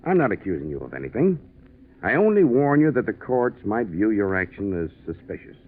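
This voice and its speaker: An actor playing a courteous, careful insurance official in a 1940s radio mystery, formal and placating. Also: male voice, on the low side, very animated, a little fast. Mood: cautioning. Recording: narrow, noisy 1940s radio recording.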